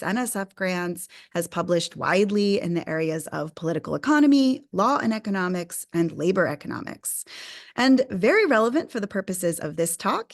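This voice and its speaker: A woman hosting a live webinar, reading housekeeping notes and introducing the guest speaker in a clear, upbeat way. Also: mid-range voice. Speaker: webinar host and editor. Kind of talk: scripted introduction.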